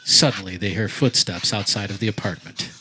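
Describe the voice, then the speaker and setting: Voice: low voice, a little fast, sharp tone, slight background noise, low-quality recording. A narrator reading scripted stage action for a comedic radio play.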